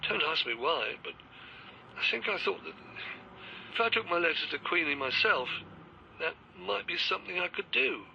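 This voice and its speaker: A man's voice: sits high, animated, moderate pace, vocal fry, pauses often, bright, forceful tone, heavily acted. A stammering, timid old Englishman. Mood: earnest. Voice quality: hesitant, stammering. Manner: apologetic, mild, rambling. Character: timid older man, retired, set out walking. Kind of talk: radio drama acting. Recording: dull lo-fi audio with faint background noise.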